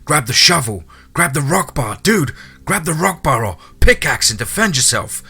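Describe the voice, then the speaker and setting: A man voicing a frantic, profane armed man who yells urgent orders at a stranger in a horror story. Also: fairly high pitch, very animated, moderate pace, gravelly, slightly rough, pitched above the performer's own voice, exaggerated voice. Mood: frantic yelling.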